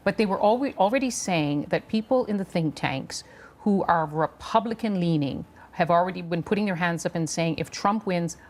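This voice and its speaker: A woman's voice: lowish voice, normal speed, a little mellow. A woman commentating on a news panel in an emphatic way, repeating words for stress. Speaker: political commentator, studio guest.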